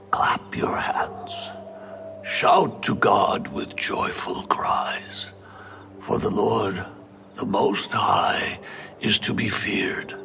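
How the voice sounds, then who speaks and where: Man, breathy whisper, extremely slow pace, reverent dramatic scripture reading, music behind. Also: on the low side. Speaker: scripture reader.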